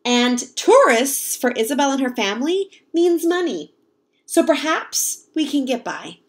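A young woman reading a picture book aloud to children in an expressive, explanatory way. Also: sits high, measured pace. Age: young adult. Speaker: read-aloud storyteller, teacher. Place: home kitchen, indoors.

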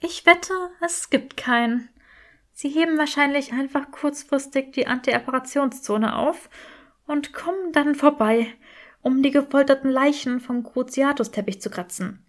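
A woman's voice: high voice, measured pace, voice pushed up. A woman voicing an anxious, clever young witch in an audiobook, urgent and rambling. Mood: gloomy.

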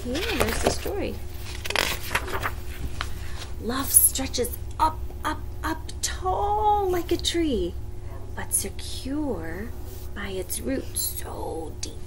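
A woman's voice: high voice, sing-song, drawn-out words, long pauses. A woman in her thirties reading a picture book aloud to a small child, playfully drawing out her words, on a home webcam in a bedroom. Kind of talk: animated read-aloud for young children. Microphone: webcam or device mic at arm's length. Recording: noisy home webcam recording.

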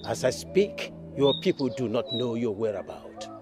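An actor playing a solemn male spirit who guides the dead, speaking slowly and gravely. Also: mid-range voice, sing-song, lightly acted. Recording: music under the voice.

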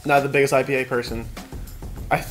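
A young man co-hosting a casual beer tasting, relaxed and jokey, fond of puns. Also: mid-range voice, fairly quick, faint music behind. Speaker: show co-host. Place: indoor studio set with wooden backdrop.

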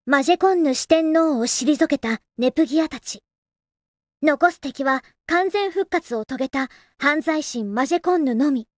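A woman's voice: sits high, expressive, normal speed, dry recording, studio quality. A woman voicing a girlish young heroine who narrates game recaps in an earnest, theatrical, carefully enunciated style. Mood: earnest, dramatic. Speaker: narrator of episode recaps and previews. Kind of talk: scripted dramatic next-episode preview narration.

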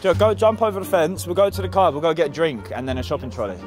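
A man's voice: fairly high pitch, animated, racing. A young man trading wry, swearing jokes with friends while vlogging outdoors on a derelict ski slope. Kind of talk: casual banter while filming. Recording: background music.

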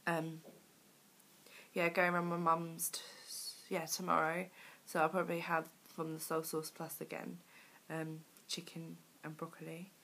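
Croaky-voiced woman in her twenties. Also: low voice, completely flat delivery, unhurried, fairly bright tone, slight background noise. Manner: chatty, rambling, many ums. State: croaky from a cold. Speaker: vlogger. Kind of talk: casual weight-loss diary chat. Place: small room at home, indoors. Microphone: webcam at arm's length.

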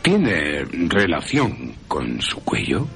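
A man playing a courteous vampire-hunting professor who questions softly and insistently. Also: very deep voice, wildly swooping pitch, drawn-out words, creaky, soft background music, exaggerated voice. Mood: gentle, probing. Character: gentle, probing older professor, a vampire hunter.

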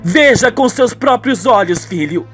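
A man's voice: sits high, animated, measured pace, fairly bright tone, faint music behind, pitched above the performer's own voice, exaggerated voice. A man playing a furious, scornful middle-aged betrayed wife in a radio soap opera.

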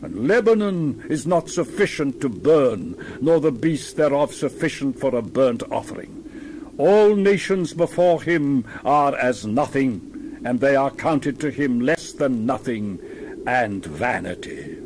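A man's voice: mid-range voice, animated, a little slow, a little mellow, a little hiss or hum. A man reading the Bible aloud in a dramatized style, with solemn, theatrical, emphatic declamation. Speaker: Bible reader.

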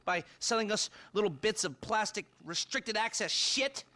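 An actor playing a sardonic middle-aged hacker who rants in quick, acerbic bursts in a game cutscene. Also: male voice, high-pitched, lively intonation, sharp tone. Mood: ranting. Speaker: game character.

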